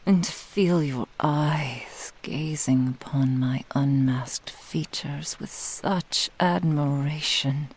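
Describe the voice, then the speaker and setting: A woman voicing a proud, possessive, seductive fox-spirit witch in a breathy whisper, with a grandiose, intimate manner, for an ASMR roleplay. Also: sits low, a little mellow, full character voice. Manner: slow, lingering, grandiose, intimate.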